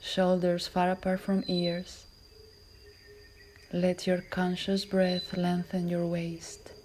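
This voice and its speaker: A woman yoga instructor guiding a class in a calm, measured, soothing voice that is soft and at times breathy. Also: lowish voice, a little hiss or hum. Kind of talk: guided yoga and relaxation instruction.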